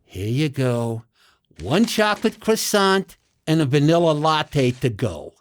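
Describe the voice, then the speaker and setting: A folksy older American cook in a radio drama. His pitch swings dramatically as he talks in a humble, plain-spoken, friendly way. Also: big pitch swings, slow pace. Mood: friendly, eager. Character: folksy, modest male diner cook, army veteran.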